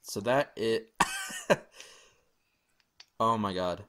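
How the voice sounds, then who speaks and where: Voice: medium pitch, very slow, very noisy. A game master casually narrating a tabletop game and bantering with the players.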